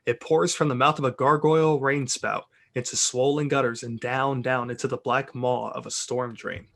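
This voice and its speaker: Guy reading movie script narration all dramatic, kinda amused, medium pitch voice, jokey asides. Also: flat delivery, moderate pace, vocal fry, a bit bright. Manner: amused, theatrical, breaks off for joking asides. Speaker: reader of narration and stage directions.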